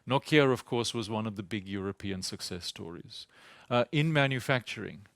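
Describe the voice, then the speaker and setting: Low gravelly British-sounding man. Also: animated, a bit bright, dry recording, studio quality. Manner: halting, repeats words while finding phrasing; measured, explanatory. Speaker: panel speaker; university teacher. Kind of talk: conference panel talk. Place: think-tank event hall.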